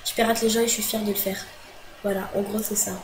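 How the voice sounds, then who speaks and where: A woman's voice: mid-range voice, level tone, quick pace, noisy background. A young woman venting to camera about an online dispute, agitated and full of fillers and restarts, with a cold. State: has a cold. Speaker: vlogger.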